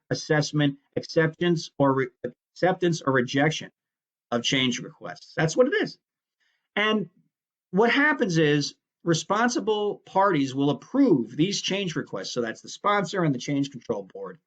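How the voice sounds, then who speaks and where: Conversational male instructor, fairly high voice. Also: highs cut off. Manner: conversational, explanatory, corrects himself mid-sentence. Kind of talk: recorded lecture on project management.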